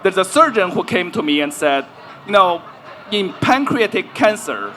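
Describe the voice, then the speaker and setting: A man's voice: high-pitched, big pitch swings, normal speed, fairly bright tone, faint music behind. A man in his forties, a panelist speaking on a conference stage, explaining his work in plain terms and peppering his sentences with "right?". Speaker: panelist, healthcare AI researcher. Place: conference stage before an audience.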